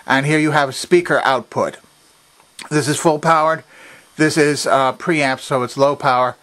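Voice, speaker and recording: A man's voice: fairly high pitch, fairly even intonation, normal speed, a bit bright. A man giving an instructional demonstration in a methodical, precise, explanatory way. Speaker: demonstrator of a vintage machine. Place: indoor workshop at a workbench.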